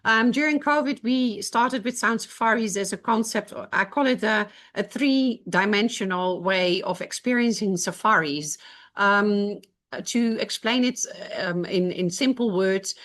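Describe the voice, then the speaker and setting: A woman's voice: mid-range voice, measured pace, a bit bright. A woman with a Dutch accent, co-owner of a safari camp, answering interview questions with many fillers and restarts. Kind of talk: podcast interview.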